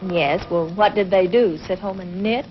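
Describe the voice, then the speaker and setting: An actress playing a bright, curious young scientist from the future in 1950s television science fiction, inquisitive and earnest. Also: female voice, mid-range voice, moderate pace, natural voice. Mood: curious, spirited. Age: young adult. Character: bright young female space-age scientist, curious and spirited. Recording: noisy, dull 1950s television soundtrack.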